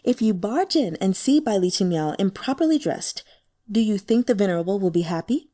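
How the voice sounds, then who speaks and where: A woman's voice: mid-range voice, sing-song, brisk. A woman voicing a sly, exasperated sect protector in an audiobook, outwardly respectful and coaxing. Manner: soft-spoken, coaxing, teasing.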